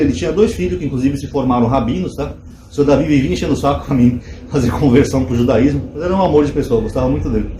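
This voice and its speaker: A man's voice: fairly high pitch, roomy sound, a little hiss or hum, rough recording. A man, chatting casually to his viewers and explaining his points with rhetorical questions. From Brazil. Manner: conversational, animated asides with rhetorical questions. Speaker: channel host.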